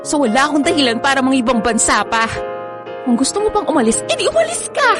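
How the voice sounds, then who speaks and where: High-pitched, defiant young Filipino girlfriend. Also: sing-song, fast. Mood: exasperated, angry. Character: defiant, fed-up young girlfriend pulling away. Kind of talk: radio drama acting. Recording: music bed under the voice.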